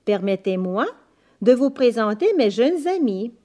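A woman hosting a scripted bilingual radio language lesson as guide and interpreter, formal and deliberate, repeating phrases. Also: a little high, very animated, warm tone.

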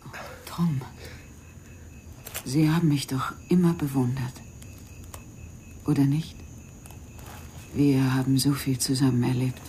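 An actress playing an embittered, self-pitying woman in a radio crime drama, intense and often dropping into breathy whispers. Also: very deep voice, steady tone, takes it slowly, pauses often, dark tone, slight background noise. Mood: coaxing. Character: embittered, self-pitying woman, a desperate mother who feels cheated.

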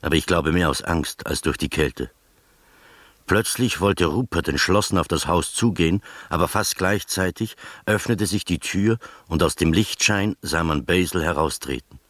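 An actor playing an earnest, anxious man who tells a detective tale in a measured, articulate way for a radio drama. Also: low-pitched, a bit bright, no echo. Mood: measured storytelling. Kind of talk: radio drama narration, literary storytelling.

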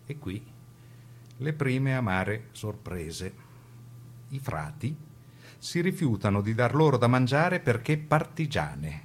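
A man's voice: mid-range voice, very animated, slow pace, long pauses, a little hiss or hum. A man narrating a historical account for a radio drama, in documentary style.

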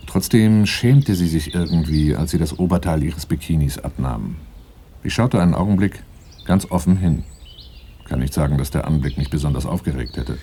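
An actor playing a wary, plain-spoken young bodyguard in a German radio play, both in dialogue and as narrator. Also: male voice, a bass voice, normal speed, dark tone. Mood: reflective narrating. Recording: faint noise, 1980s radio play.

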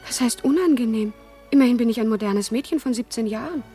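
A pert, teasing seventeen-year-old girl, voiced by a woman, answers tartly in a radio play. Her voice sits on the high side over faint background music. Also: fast talker, warm tone, lightly acted.

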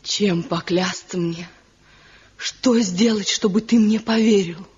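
A woman acting an anguished, pleading young wife in a radio drama, devoted, with a husky, breathy voice. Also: medium pitch, takes it slowly, a little mellow. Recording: muffled 1970 radio drama recording, music behind.

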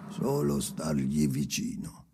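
An actor playing a haunted, obsessive man speaking to his dead father in a halting, repetitive radio theatre monologue, with a husky, gravelly voice. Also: extremely low pitch, sing-song, noisy background, heavily acted. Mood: weary, restless. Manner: halting, repetitive, self-correcting, shifting from murmurs to outbursts.

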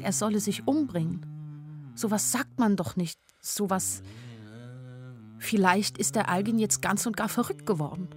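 A woman's voice: mid-range voice, natural voice. An actress playing an anxious, observant young woman who narrates her own story wistfully in a literary radio drama. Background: music.

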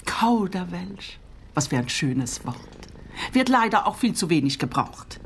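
A woman's voice: lowish voice, big pitch swings, measured pace, vocal fry, full character voice. An actress playing a prim, eccentric female neighbour in a comic radio play, with a fussy, affected, genteel manner. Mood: affected, delighted. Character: prim, eccentric female neighbour who loves rare words. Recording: noticeable background noise.

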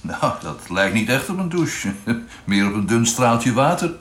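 A man's voice: mid-range voice, very animated, measured pace, a bit bright, husky, exaggerated voice. An actor playing an informal, curious and easily puzzled spaceship crewman in a radio drama. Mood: tired, casual. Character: tired, bemused young crewman, informal and curious.

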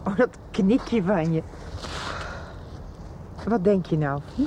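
An actress playing a caring, coaxing friend in a Dutch radio soap. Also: female voice, medium pitch, takes it slowly, dark tone, a little hiss or hum, lightly acted. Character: caring, coaxing female friend offering comfort.

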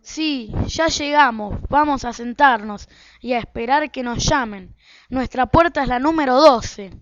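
Woman as high-voiced Argentine dad Tomás. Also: a little slow, no room sound. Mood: resigned. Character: easygoing husband and father, Tomás. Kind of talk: amateur radio play acting. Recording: dull, dry, lo-fi recording.

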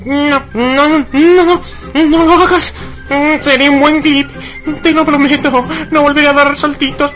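A man's voice: very high voice, steady tone, a little slow, fairly bright tone, rough recording. A man from a radio comedy drama voicing a clingy, pesky cartoon paperclip helper with a whiny, cajoling manner and an accent from Spain. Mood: pleading. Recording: music bed under the voice.

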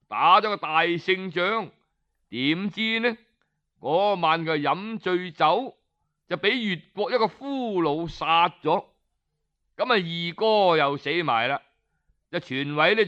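A man telling historical tales in Cantonese in an expressive, colloquial traditional storytelling style. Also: high voice, clear, resonant tone. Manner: expressive, colloquial, with rhetorical interjections. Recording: dull lo-fi audio.

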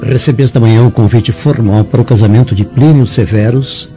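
An actor playing a conciliatory middle-aged Roman patrician, reasonable and formal, in a radio drama. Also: male voice, medium pitch, fairly quick, natural voice. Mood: reasoning. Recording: muffled recording with music underneath.

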